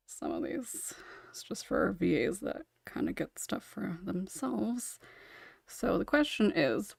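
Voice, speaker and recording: A woman's voice: medium pitch, gravelly, a little mellow, no room sound, clean studio sound. A woman hosting a casual podcast panel over an online call, with an informal, giggly, hesitant manner. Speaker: podcast host. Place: online voice call, dry close recording. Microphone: own microphone with noise suppression.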